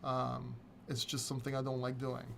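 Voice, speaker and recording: A man's voice: mid-range voice, completely flat delivery, moderate pace, vocal fry, a bit bright, a little hiss or hum. A man from Greece hosting a casual live crypto Q&A, reading viewer questions from chat and answering them candidly, sometimes swearing. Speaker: crypto channel host.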